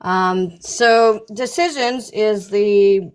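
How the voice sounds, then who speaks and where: A woman, an author and panel guest, presenting informally and partly reading aloud, halting and stumbling over words. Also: medium pitch, smooth.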